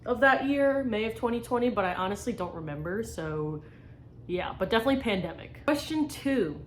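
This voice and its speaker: A woman's voice: on the high side, lively intonation, fairly quick, slight background noise. A young woman from New York answering tag questions about her small art shop. She rambles with lots of fillers and swears casually. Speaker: small business artist.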